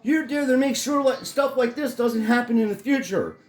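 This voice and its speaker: A middle-aged man with a fairly high, hoarse voice rants into the camera, cursing and rambling with angry conviction. His voice rings off the bare walls of an echoey room. Faint music plays underneath. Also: moderate pace. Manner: angry, profane, rambling rant. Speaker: vlogger. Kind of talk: ranting vlog. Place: his home in Florida.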